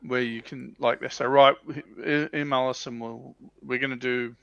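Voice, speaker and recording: A man with a creaky, gravelly voice chats casually on a livestream panel. The sound is dry but somewhat dull and lo-fi. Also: mid-range voice, fairly even intonation, normal speed, fairly bright tone, no room sound. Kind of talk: casual chat.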